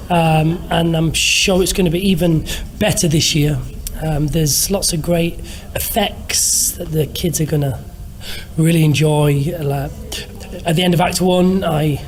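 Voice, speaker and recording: A man who performs in pantomime, giving casual interview answers in a measured, pausing way. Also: fairly high pitch.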